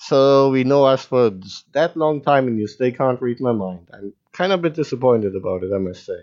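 Male podcast host, easygoing and giggly, mid pitch, slightly muffled audio. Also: a little fast. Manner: laughs often, relaxed, teasing. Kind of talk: casual chat.

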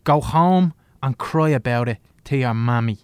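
A man's voice: mid-range voice, moderate pace, a little mellow, clean tone, no echo, clean studio sound, close to an everyday voice. An actor playing a weary, wary adult man with an Irish accent, blunt and exasperated, in naturalistic audio drama. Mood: alarmed, pleading.

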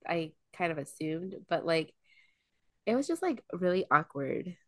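A woman's voice: fairly low pitch, sing-song, measured pace, dry recording, clean studio sound. A young woman co-hosting a casual podcast, telling stories between friends, full of "like" and "um," with a cold coming on. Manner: chatty storyteller, lots of "like" and "um". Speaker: podcast co-host.

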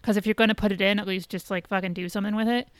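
Female podcast co-host, smooth mid-pitched voice, blunt informal chat with swearing, recorded very dry. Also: steady tone, very fast, dry recording. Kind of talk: casual chat reviewing a TV episode.

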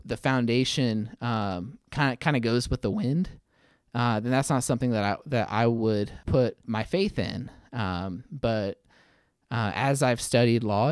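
A man's voice: mid-range voice, smooth, no room sound. Guy answering interview questions, stammers and repeats words a lot, thoughtful, really dry room sound. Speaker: interview guest, attorney.

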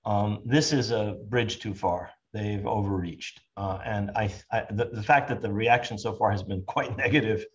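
An older man moderates an online panel, speaking in a measured way with frequent ums. His voice sits low. The audio is dull and lo-fi, like a video call. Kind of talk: webinar discussion.

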